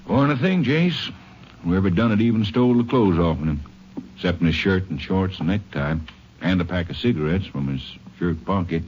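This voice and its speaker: Folksy, low-voiced Texas sheriff. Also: male voice, expressive, normal speed, darkish tone. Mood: matter-of-fact. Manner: folksy drawn phrasing, laconic. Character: plain-spoken, folksy male Texas county sheriff. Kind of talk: 1950s radio western drama acting. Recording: dull old radio recording with clear hiss.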